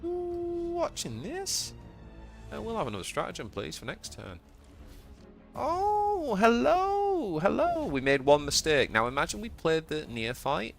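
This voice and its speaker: A man with a Yorkshire accent streaming a card game live, chatting to viewers and yelping with excitement at surprises. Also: measured pace, vocal fry, soft background music. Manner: excitable, bursts into high yelps at surprises. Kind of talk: live gameplay commentary.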